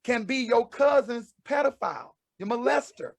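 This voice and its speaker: A man's voice: very high voice, normal speed, creaky, no echo. A man hosting a casual group chat on a spoken-word show, preachy and teasing by turns. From St. Louis. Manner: preachy and teasing, calls people out with jokes. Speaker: host.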